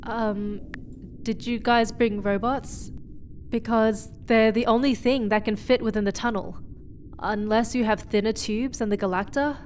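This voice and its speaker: An earnest young space explorer played by a woman with a fairly high voice. She speaks haltingly and with emotion in a sci-fi drama, over faint background noise. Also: fairly even intonation, a little mellow, close to an everyday voice. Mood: subdued. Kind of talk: sci-fi horror audio drama acting.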